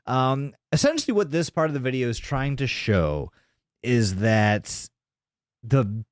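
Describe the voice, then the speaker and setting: A man's voice: mid-range voice, big pitch swings, unhurried, clean tone, dry recording, studio quality. A man hosting a podcast, casually recounting a video in informal, sweary language. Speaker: podcast host.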